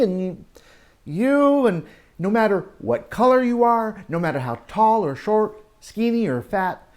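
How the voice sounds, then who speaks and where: A man teaching children through story time and Bible talk, speaking in an animated storytelling style with dramatic pauses and questions to young listeners. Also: sits high.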